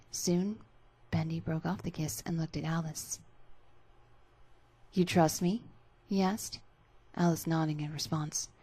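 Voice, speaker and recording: A woman's voice: on the low side, dark tone, slightly rough, rough recording. A woman narrating scripted fan fiction as a dramatic reading.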